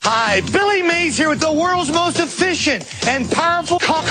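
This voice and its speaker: A man voicing a crude, pushy infomercial pitchman in a prank call, rattling off hard-sell patter over music. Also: lively intonation, moderate pace.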